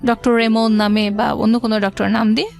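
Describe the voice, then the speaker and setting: A middle-aged Bangladeshi woman tells a personal story as an interview guest. Her voice is on the high side, and she talks conversationally with English words mixed in. Faint music plays behind her. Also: little pitch movement, fast, gravelly, darkish tone. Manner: conversational, quotes past dialogue, mixes in English words. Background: background music.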